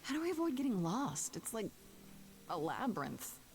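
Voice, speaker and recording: An earnest young video game heroine with a medium-pitched, very expressive voice. She sounds natural, questioning and a little wry, feeling her way with care. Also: normal speed, slight background noise. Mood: wary. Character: earnest, uncertain young heroine with dry wit.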